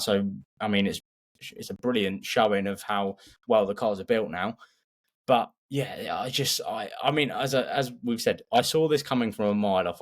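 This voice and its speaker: A man co-hosting a motorsport podcast, analysing in a casual, opinionated and emphatic way. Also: fairly low pitch.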